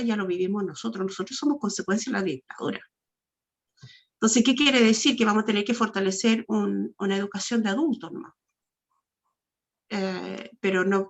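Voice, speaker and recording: A woman with a Chilean accent speaking on a panel over a video call, talking earnestly and restarting her sentences. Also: medium pitch. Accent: Chilean Spanish. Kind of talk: casual panel talk. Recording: dull lo-fi video call audio.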